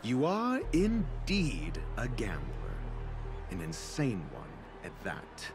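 An actor playing a stern, haughty scholar-doctor in a video game, dry and disdainful. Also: male voice, mid-range voice, wildly swooping pitch, slow pace, music in the background, full character voice. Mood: grudging, dry.

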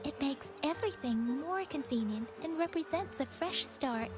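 A woman playing a gentle young companion in a video game, speaking in a measured, explanatory way. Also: sits high, expressive. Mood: calm, explanatory. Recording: music behind.

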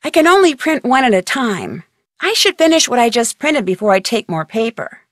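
A young female sleuth from a mystery video game, curious and polite. Her voice sits on the high side and moves with animated intonation. The sound is dry and close. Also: moderate pace, dry recording. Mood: curious, probing. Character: inquisitive, polite young female amateur detective.

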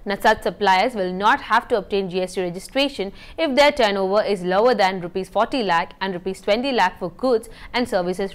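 A young woman, reading a scripted news bulletin in a steady, measured way in a studio. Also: medium pitch. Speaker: news presenter. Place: indoor TV news studio set.

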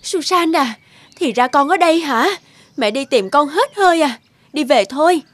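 A woman's voice: high-pitched, animated, normal speed, soft background music. A woman playing a struggling young mother and street peddler in a cartoon, exasperated and dramatic, weary yet defiant. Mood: scolding. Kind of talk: dubbed cartoon acting.

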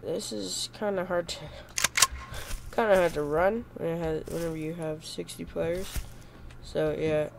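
A man's voice: fairly high pitch, fairly even intonation, unhurried, vocal fry, over music. A young man with a stuffy, cold-blocked voice, rambling through casual gameplay commentary with lots of goofy self-corrections. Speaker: gaming YouTuber.

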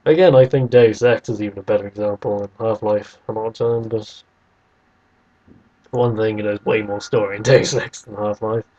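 A man's voice: on the low side, steady tone, moderate pace, dark tone, highs cut off. A man co-hosting a podcast, casually arguing about video games and restating his points over an internet call. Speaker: podcast co-host.

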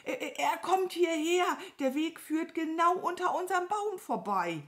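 A woman voicing an excitable, bossy crow with a scolding, agitated manner for a children's storybook. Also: very high-pitched, measured pace, pitched above the performer's own voice. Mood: alarmed. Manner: agitated, scolding, stammers when alarmed.

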